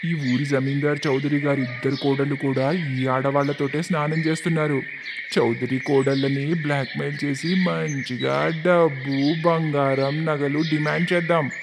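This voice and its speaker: A man's voice: fairly high pitch, fairly even intonation, moderate pace, background noise, natural voice. A man voicing a sly young goon in a cartoon story who blackmails people in a smug, sneering way. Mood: scheming.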